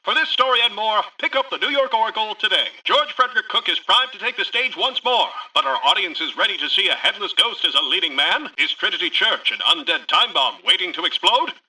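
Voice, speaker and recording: A brash 1940s-style American newscaster for a game. The voice sits high and swoops dramatically from phrase to phrase, with a sharp, forceful edge. Each scripted headline is punched out theatrically, like sensational newsreel copy. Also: male voice, lively intonation, fairly quick, hardly any pauses, heavily acted, low-quality recording. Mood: sensational, dramatic. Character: brash male old-time radio newscaster, sensational and dramatic.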